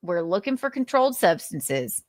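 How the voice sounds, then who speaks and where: A calm male police officer, as a woman acts him out, persistent and matter-of-fact. The questions are probing, with lively pitch movement and a dry, close sound, in a dramatic reading. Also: normal speed, no room sound.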